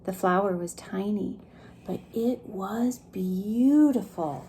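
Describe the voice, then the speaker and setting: A woman reading a picture book aloud to young children in an expressive, gentle way, pausing to ask them questions. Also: mid-range voice, a little hiss or hum. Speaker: preschool story time reader.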